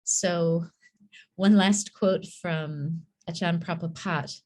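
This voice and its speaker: A woman who leads a school, giving a measured, reflective conference talk in a hall with the occasional soft laugh. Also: lowish voice, steady tone. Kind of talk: conference presentation.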